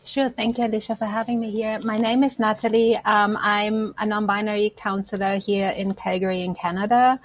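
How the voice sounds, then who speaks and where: A woman's voice: medium pitch, level tone, fairly quick, few pauses. A woman from Germany, not an English speaker, speaking reflectively about personal matters as an interview guest. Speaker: podcast guest, counselor.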